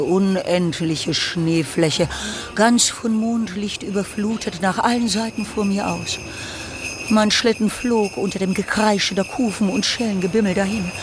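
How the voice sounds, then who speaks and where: Radio drama narrator: proud, wistful ex-courtesan actress, unhurried monologue, music underneath. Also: female voice, on the low side, close to an everyday voice. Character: proud, reminiscing female former courtesan.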